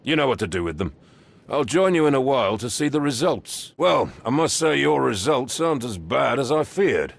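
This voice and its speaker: A veteran police sergeant in a video game, stern and condescending. The voice is full of gravel and moves emphatically up and down as he gives gruff orders. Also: medium pitch, very animated, normal speed. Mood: scornful.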